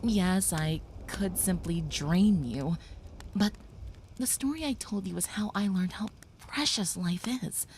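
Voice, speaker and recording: Woman playing a gentle whispering vampire girl. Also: fairly low pitch, expressive, takes it slowly, a little hiss or hum. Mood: soothing. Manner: soft, soothing, often whispered. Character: gentle young female vampire caring for a human. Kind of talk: audio roleplay.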